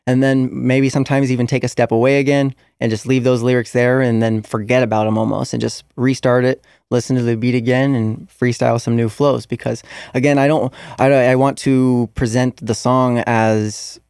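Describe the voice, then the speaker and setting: Young male musician guest, gravelly and smooth, easygoing rambling talk. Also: mid-range voice, little pitch movement, normal speed, few pauses, a little mellow, dry recording, clean studio sound. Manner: casual, rambling with fillers and restarts. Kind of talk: relaxed interview answers.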